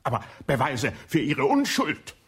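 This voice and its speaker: An actor playing a shrewd police inspector in a radio play, with a husky voice, probing and ironic. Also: male voice, medium pitch, huge pitch swings, unhurried, fairly bright tone, full character voice.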